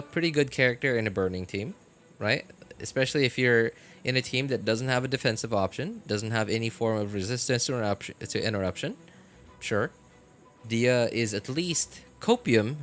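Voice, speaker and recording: A man talking casually on a game live stream, rambling with many fillers and getting animated about his opinions. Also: medium pitch, moderate pace, faint music behind. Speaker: gaming streamer.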